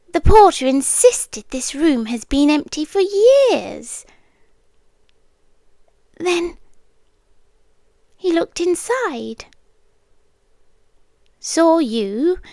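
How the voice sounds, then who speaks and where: Close and very dry, this is a woman acting as a possessive British lady doctor in an ASMR roleplay. Her voice is high and soft, often dropping to a whisper. She speaks extremely slowly and deliberately, with an eerie, soothing bedside hush. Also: no echo, full character voice. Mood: uneasy, hushed. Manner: hushed, deliberate, slipping into whispers, long pauses. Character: soft-spoken eerie, possessive female doctor tending a lone patient.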